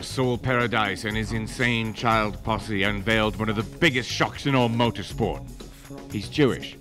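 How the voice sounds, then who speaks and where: A man's voice: mid-range voice, natural voice. A man playing an over-the-top live motorsport TV reporter in a punchy, tongue-in-cheek mock news report.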